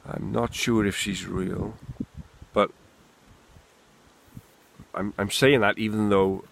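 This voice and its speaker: A man's voice: lowish voice, fairly even intonation, takes it slowly, creaky. A Welsh man rambling candidly and swearing freely in an unscripted monologue, recorded outdoors in the wind. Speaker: vlogger.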